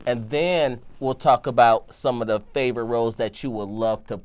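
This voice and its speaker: A man's voice: mid-range voice, steady tone, smooth. A man from Michigan hosting a casual interview, easygoing and encouraging the guest. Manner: easygoing, encouraging, quick backchannels. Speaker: host.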